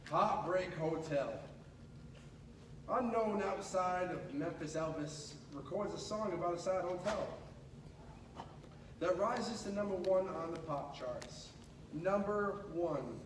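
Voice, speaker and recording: Echoey high-voiced man reading a storybook aloud. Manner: dramatic, with pauses and drawn-out emphasis. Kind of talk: animated read-aloud of a children's picture book. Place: echoey room at a school. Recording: echoey room, distant mic, clear background noise.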